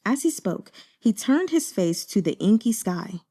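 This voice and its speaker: A woman narrating a novel as an audiobook, in a measured, dramatic storytelling manner. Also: mid-range voice, big pitch swings, warm tone. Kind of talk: expressive scripted narration of a novel.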